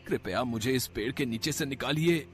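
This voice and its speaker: A man's voice: mid-range voice, moderate pace, soft background music, natural voice. Man playing a faint, suffering trapped poet. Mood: pleading. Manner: faint, labored, plaintive. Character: trapped suffering male poet, weary and pleading.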